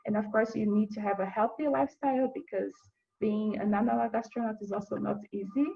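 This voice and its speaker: A young woman from Brazil, a university student giving an earnest, halting webinar presentation with frequent restarts. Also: mid-range voice, steady tone, creaky, muffled recording. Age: young adult.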